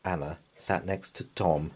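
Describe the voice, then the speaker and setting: A man reading a children's picture book aloud in a gentle, lively, sing-song way. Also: sits low, unhurried, breathy edge. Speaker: storybook reader.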